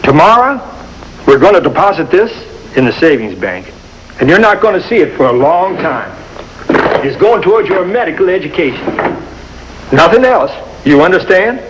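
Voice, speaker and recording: An actor playing a gruff but kindly small-town American doctor, brusque and folksy like an uncle, in a 1950s television drama. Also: male voice, fairly high pitch, big pitch swings, moderate pace. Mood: gruffly kind. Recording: noisy old 1950s television soundtrack.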